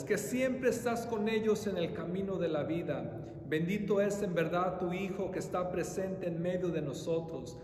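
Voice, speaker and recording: Higher-voiced priest praying in an echoing church. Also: male voice, darkish tone. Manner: measured, formal ritual phrasing. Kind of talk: solemn liturgical prayer recitation. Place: large reverberant church interior. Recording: echoey church hall, faint low rumble.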